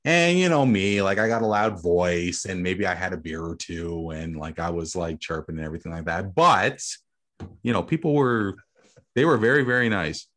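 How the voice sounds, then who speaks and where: A man's voice: low voice, animated, moderate pace, fairly bright tone. A man hosting a casual sports talk show, rambling with many fillers and laughing easily. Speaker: host.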